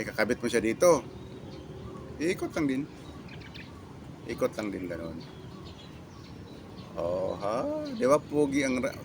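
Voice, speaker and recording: Filipino guy doing a casual product review, halting and repeats himself, medium pitch, some noise behind him. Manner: repetitive, halting with catchphrases. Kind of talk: casual product demo vlog.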